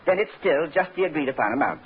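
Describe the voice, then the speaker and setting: A courteous, fussy swindler from a radio play. He talks in ornate, rueful turns with a raised, very animated pitch. The sound is a tinny, scratchy old broadcast. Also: quick pace, husky. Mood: smooth, fussy. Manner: polite, loquacious, mock-rueful. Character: genteel, fussy swindler with an artist's soul. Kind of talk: 1940s-50s radio drama acting. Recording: scratchy, thin old-time radio recording.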